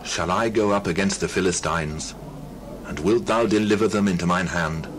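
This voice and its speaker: A low, earnest male voice, an actor as a devout warrior leader in a Bible drama. He speaks solemnly, with faint noise behind him. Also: normal speed, lightly acted, rough recording. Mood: pleading.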